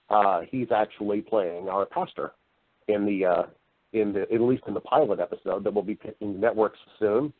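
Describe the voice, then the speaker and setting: A narrow, phone-like connection carries a fairly low male voice. He talks as an interview guest in a casual, conversational way. He is talkative and explains things at length. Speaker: interview guest, voice-over artist and actor.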